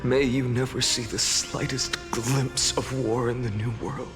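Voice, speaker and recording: Composed male leader , actor, video game drama. Grave, measured, mid-pitched, in a reverberant hall with music. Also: little pitch movement, close to an everyday voice. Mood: solemn farewell.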